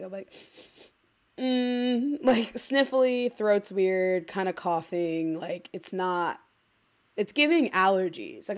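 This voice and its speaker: A woman co-hosting a podcast, talking in a casual, chatty way full of fillers, a little congested as she recovers from illness. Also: on the low side. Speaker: podcast co-host. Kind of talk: casual chat.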